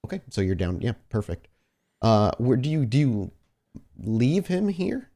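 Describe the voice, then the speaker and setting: A man's voice: medium pitch, very animated, a little slow, mellow. A man narrating as game master of a tabletop roleplaying game, conversational and descriptive, speaking directly to his player. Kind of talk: improvised narration mixed with casual table talk.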